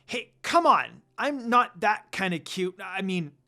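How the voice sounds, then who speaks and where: A man voicing a brash, easily flustered young half-demon in a fantasy story, loud and stammering. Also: high voice, big pitch swings, slow pace, exaggerated voice. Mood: flustered, indignant.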